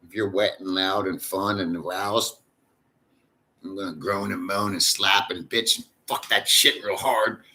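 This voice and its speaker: Male streamer, low-pitched, crisp forceful tone, swears constantly, loose rambling chat delivery. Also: fairly even intonation, measured pace. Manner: crude, profane and rambling. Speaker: livestream host reading chat. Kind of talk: casual livestream chat.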